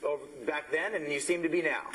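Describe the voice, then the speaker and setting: Male morning host, slightly high voice, jokey and bantering. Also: fast, fairly bright tone. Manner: playful, bantering. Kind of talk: live broadcast interview.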